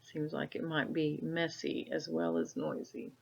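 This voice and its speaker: A woman reading a children's story aloud like a teacher, adding casual comments and gentle questions for the listener. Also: deep voice, level tone, measured pace, vocal fry, husky. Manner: asks gentle tag questions. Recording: dull, lo-fi sound.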